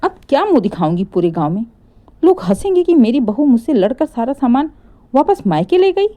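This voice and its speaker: A woman's voice: on the high side, sing-song, brisk, mellow, pitched above the performer's own voice. A woman voicing a proud older mother-in-law in a family story, bossy, defensive and scolding. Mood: indignant.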